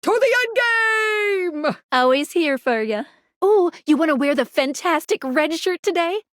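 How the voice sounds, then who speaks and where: Video game guide character: bubbly, quirky talking object, very high and animated, playful. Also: female voice, moderate pace, dry recording, clean studio sound. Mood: helpful, chirpy. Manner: perky, playful, expressive.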